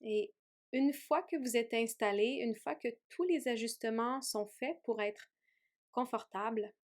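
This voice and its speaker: A woman yoga teacher leading a guided meditation in a gentle, soothing way with long pauses. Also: fairly high pitch. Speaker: yoga teacher guiding a relaxation.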